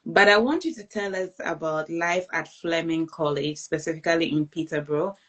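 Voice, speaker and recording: Low-voiced woman hosting an interview. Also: normal speed, fairly bright tone, dull audio. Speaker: host and education consultant.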